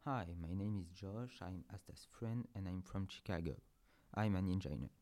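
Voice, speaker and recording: A man's voice: deep voice, normal speed, warm tone. A man guest on a podcast, giving plain, matter-of-fact answers.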